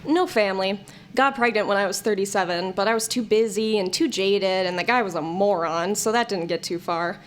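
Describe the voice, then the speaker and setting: A woman's voice: medium pitch, brisk, a little hiss or hum, natural voice. A woman playing a jaded, blunt, sardonic character in an audio comedy-drama, with a dry delivery. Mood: matter-of-fact, wistful.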